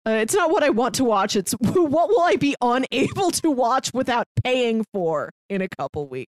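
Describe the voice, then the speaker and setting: A woman chats casually as a podcast co-host. Her voice sits on the high side and moves with very animated intonation. The sound is dry and close, with no room echo. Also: moderate pace. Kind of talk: casual review podcast chat.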